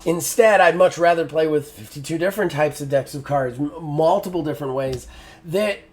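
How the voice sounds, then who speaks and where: A man's voice: a little high, brisk. Frank, exasperated man reviewing a game. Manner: frank and exasperated, frequent fillers and restarts. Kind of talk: casual spoken game review.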